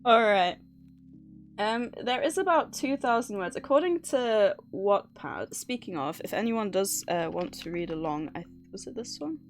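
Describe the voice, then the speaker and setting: A woman's voice: medium pitch, animated, moderate pace, faint music behind. A woman hosting a livestream, chatting casually in a nervous, giggly way. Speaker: livestream host. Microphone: streaming microphone at a desk.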